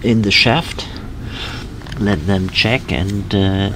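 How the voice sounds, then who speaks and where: A man's voice: lowish voice, slow pace, noisy background. An older man with a German accent casually explaining boat work, searching for words in English. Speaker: sailing vlogger.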